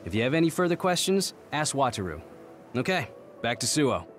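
A man's voice: medium pitch, sing-song, brisk, slight background noise, lightly acted. A man playing a no-nonsense squad captain in an anime game, curt, authoritative and dryly weary. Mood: weary, firm. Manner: curt, authoritative, matter-of-fact.

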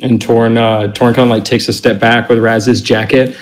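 Player, male, mid pitch, loose rambling delivery. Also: level tone, normal speed. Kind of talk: casual roleplay, describing his character.

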